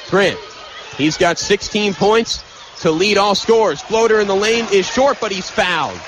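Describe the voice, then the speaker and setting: A man doing live radio basketball play-by-play as the announcer, in excitable, punchy, clipped phrases. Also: high-pitched. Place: courtside in an indoor arena. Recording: loud arena noise behind. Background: loud arena crowd noise.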